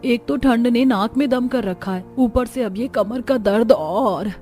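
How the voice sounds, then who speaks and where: A woman's voice: a little high, unhurried, mellow, soft background music, close to an everyday voice. A woman voicing young village daughters-in-law in a moral-story cartoon, theatrical and exclamatory as they bicker and complain. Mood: pained grumbling.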